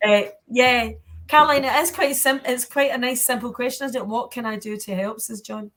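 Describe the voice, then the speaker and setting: A woman's voice: fairly high pitch, steady tone, brisk, fairly bright tone. A Scottish woman hosting a panel Q&A in a chatty, colloquial, encouraging way. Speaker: panel host.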